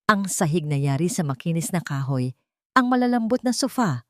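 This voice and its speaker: A woman's voice: on the low side, very animated, moderate pace, a bit bright, dry recording, studio quality. A woman reading a scripted love drama as a storyteller, in a dramatic narrating style.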